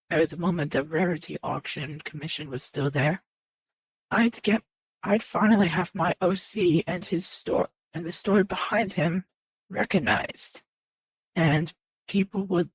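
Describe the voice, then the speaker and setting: A person speaking unscripted to viewers in a personal confession, earnest and halting, often repeating phrases and starting over. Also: mid-range voice, husky. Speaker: video creator. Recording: muffled lo-fi mic in echoey room.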